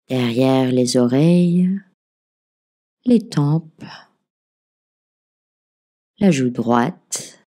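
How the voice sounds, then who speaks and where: A woman leading a guided relaxation as a meditation teacher, giving gentle, soothing, measured instructions. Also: rumbling low. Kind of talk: guided yoga nidra relaxation narration.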